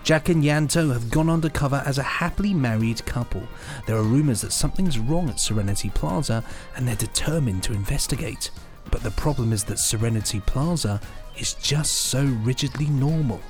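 A man's voice: medium pitch, fairly quick, hardly any pauses, faint music behind. A man hosting a podcast, chatty and playful as he presents news and reads out blurbs. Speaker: podcast host.

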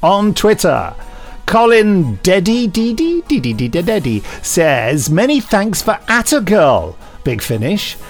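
A man's voice: high voice, huge pitch swings, measured pace, faint music behind. A middle-aged man co-hosting a podcast with casual, bantering talk. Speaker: podcast co-host.

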